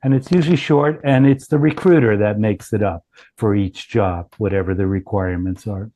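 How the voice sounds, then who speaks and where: A man presents his company's product in an informal, explanatory way. His voice sits on the low side and has a very soft, dark tone. He often backs up to rephrase as he goes. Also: normal speed.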